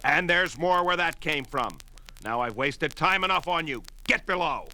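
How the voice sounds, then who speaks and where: An actor playing a cruel, gloating slave-ship deckmaster with a barking, sneering delivery in a 1970s audio drama. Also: male voice, on the high side, expressive, normal speed, bright, forceful tone, full character voice, low-quality recording. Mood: threatening.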